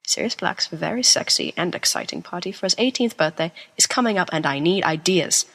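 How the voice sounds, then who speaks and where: A woman's voice: on the low side, lively intonation, brisk, creaky, fairly bright tone, full character voice. A woman voicing a flamboyant, attention-seeking seventeen-year-old boy with melodramatic, teasing delivery in an amateur audio drama. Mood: teasing, demanding. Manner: melodramatic, playful whining.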